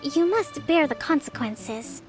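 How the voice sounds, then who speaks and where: Video game priestess: young, high clear register, composed, principled, formal authority. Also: female voice, animated, measured pace, over music. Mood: stern, formal.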